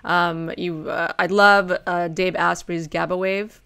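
Bright-voiced woman hosting a podcast. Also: fairly low pitch, level tone, gravelly, clean tone. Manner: friendly, laughs easily, fills pauses with um and uh. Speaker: podcast host. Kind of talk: casual podcast interview. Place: home office over a video call. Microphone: close condenser mic.

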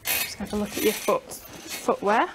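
A woman's voice: mid-range voice, measured pace. A young adult woman working as a clinician, talking a patient through a physical exam step by step. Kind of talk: instructional clinical exam talk. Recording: faint background noise.

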